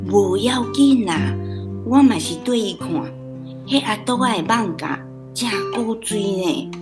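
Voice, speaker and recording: A woman's voice: a little high, lively intonation, a little slow, over music. A woman playing a stubborn, playful old grandmother in a radio drama, homely and plain-spoken, with a Taiwanese accent. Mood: chatty, amused.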